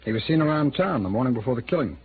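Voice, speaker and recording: A man acting a smooth-talking but defensive American city attorney in his thirties, in an old-time radio drama. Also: medium pitch, lively intonation, rapid-fire, natural voice. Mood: explanatory. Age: 30s. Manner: hesitant, defensive, conversational. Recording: phone-like, noisy old radio recording.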